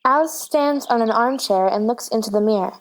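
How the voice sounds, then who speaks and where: A woman narrates in a somewhat high voice. She reads a script's stage directions, setting the scene between the dialogue. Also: brisk.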